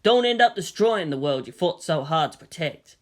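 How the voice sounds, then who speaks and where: A narrator playing a weary male army general, formal and measured, who reasons and pleads firmly. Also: medium pitch, animated, lightly acted. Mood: solemn, pleading. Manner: measured, official, placating.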